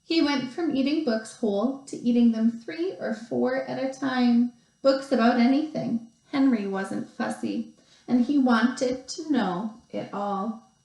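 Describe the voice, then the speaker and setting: A woman teacher reading a picture book aloud to young children, expressively, with deliberate pauses and stretched emphasis. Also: a little high, creaky, warm tone. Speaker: second grade teacher. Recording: roomy, echoey sound.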